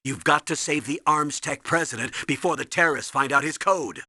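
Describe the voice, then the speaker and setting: Older American military commander for a game: authoritative, clipped orders, gravel-edged, dry close sound. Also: male voice, on the high side, fairly quick, pushed and bright, no echo. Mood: urgent. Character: stern older military colonel giving mission orders by radio. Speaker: mission commander and briefer. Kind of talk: scripted video game radio briefing.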